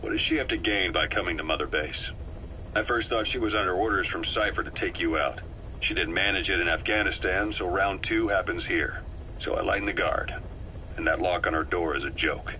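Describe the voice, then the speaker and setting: A man playing a cool, calculating veteran mercenary intelligence officer in a video game, speaking in a measured, wry, persuasive way. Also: sits low, bright, forceful tone. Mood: calm, analytical. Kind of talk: video game voice acting, briefing dialogue. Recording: noisy radio-like cassette tape recording.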